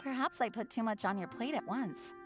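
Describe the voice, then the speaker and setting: A woman's voice: fairly high pitch, brisk, over music, close to an everyday voice. A woman playing an earnest, courteous knight commander in a video game, formal and composed. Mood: grateful. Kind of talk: video game character acting.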